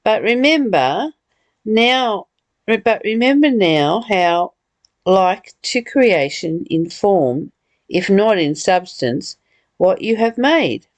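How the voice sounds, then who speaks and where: A woman hosting a spiritual study, reading aloud and reflecting casually in a halting, deliberate way. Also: mid-range voice, animated, dry recording. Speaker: host of a spiritual book study.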